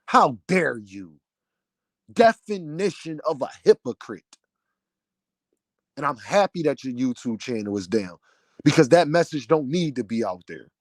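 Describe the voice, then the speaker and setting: Loud, heated man ranting with a deep voice. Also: sing-song, measured pace, long pauses, no room sound, clean studio sound. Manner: loud, heated, preaching cadence. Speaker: vlogger, channel owner. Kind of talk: passionate rant to camera.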